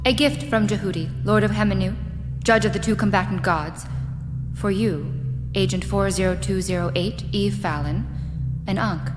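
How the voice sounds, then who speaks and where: A woman's voice: mid-range voice, moderate pace, natural voice. Formal goddess played by a woman, echoing in a hall. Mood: ceremonial. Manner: ceremonial, precise, clipped. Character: formal, dutiful goddess, keeper of scrolls and protocol. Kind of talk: scripted audio drama acting. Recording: long hall reverb with music underneath.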